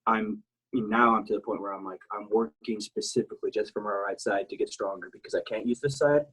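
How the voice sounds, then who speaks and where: A man's voice: on the low side, steady tone, fairly quick, a bit bright, husky, rough recording. A man in his thirties from South Texas, a military veteran talking as an interview guest, rambling and blunt, with many restarts and fillers. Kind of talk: casual interview talk.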